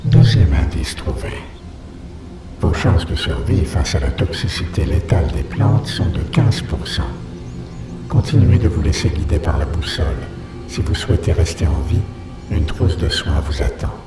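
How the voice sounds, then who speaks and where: Horror film message voice: impersonal, ominous male announcer acted by a man, deep and far off beneath heavy music. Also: very animated. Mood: cold, ominous. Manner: impersonal, formal, measured. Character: cold, mysterious male announcer giving survival warnings. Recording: distant, echoey, loud music underneath.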